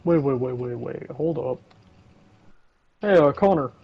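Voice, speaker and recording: A man's voice: mid-range voice, lively intonation, measured pace, creaky, husky. A young man in casual, sweary, joking banter while playing a game over voice chat. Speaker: co-op gaming partner. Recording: dull voice chat audio.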